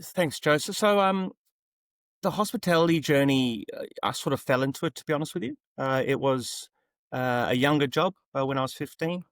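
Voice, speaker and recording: A man's voice: on the high side, moderate pace, fairly bright tone, dry recording, studio quality. A man telling his story casually as a podcast guest, easygoing and matey. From South Africa, later Australia.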